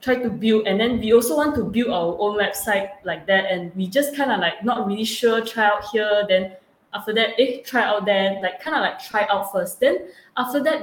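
Young Malaysian woman in an echoey room. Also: medium pitch. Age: young adult. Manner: repetitive, halting phrasing with frequent "actually". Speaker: student entrepreneur being interviewed. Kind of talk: casual interview answers. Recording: echoey room with clear background noise.

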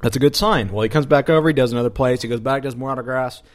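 A man's voice: medium pitch, racing. A man hosting a podcast, recounting an event in casual chat with a crude, mocking manner. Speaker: podcast host.

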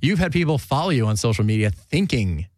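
A man's voice: medium pitch, big pitch swings, fairly quick, darkish tone, dry recording. Chatty man with a rambling delivery. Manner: rambling, self-interrupting, laughs often. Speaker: podcast host. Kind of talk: casual chat.

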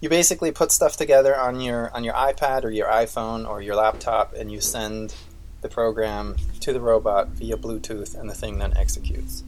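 A man's voice: on the low side, level tone, normal speed, a little mellow, soft background music. Rambling man. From Austria. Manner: rambling, leans on "basically" and "um". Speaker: podcast co-host. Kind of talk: casual chat.

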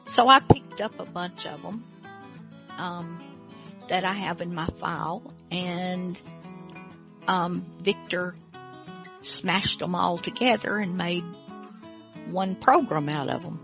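A woman's voice: lowish voice, slow pace, vocal fry, pauses often, over music. A woman hosting a radio show in a folksy, chatty way. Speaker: host.

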